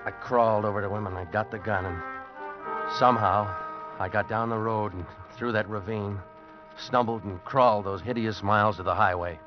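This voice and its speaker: A man's voice: fairly low pitch, fairly even intonation, moderate pace, over music, natural voice. An actor playing a desperate American man on the run, telling and acting out his ordeal intensely in an old radio drama. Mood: grim narrating. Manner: intense, dramatic, agitated pleading. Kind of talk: 1940s-50s radio drama narration and acting. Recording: muffled old radio recording.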